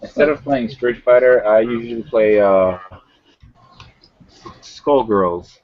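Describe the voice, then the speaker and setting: A man's voice: medium pitch, takes it slowly. A man raised in Puerto Rico, a guest chatting casually, often restarting his sentences. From born in New York, raised in Puerto Rico. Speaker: guest on the stream. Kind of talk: casual chat. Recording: muffled voice chat audio.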